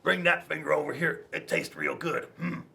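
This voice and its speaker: Man as menacing little goldfish, storybook villain, sly, relishing, fairly high male pitch. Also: fairly even intonation, normal speed, vocal fry, sharp tone, heavily acted. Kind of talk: picture book read-aloud character voice.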